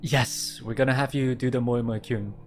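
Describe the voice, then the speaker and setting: A young man chats casually on a livestream in a quiet voice that doesn't carry far. He rambles, repeats phrases and breaks into laughter as he talks. Faint music plays underneath. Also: medium pitch, steady tone, moderate pace. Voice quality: quiet voice that does not project. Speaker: streamer.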